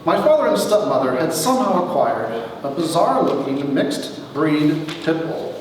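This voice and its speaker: Man, wry storyteller, lively intonation, theatrical pauses, distant and reverberant hall recording. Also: on the high side. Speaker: author reading his own story. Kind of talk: dramatic reading of a humorous memoir to an audience. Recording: echoey hall from far off, faint noise.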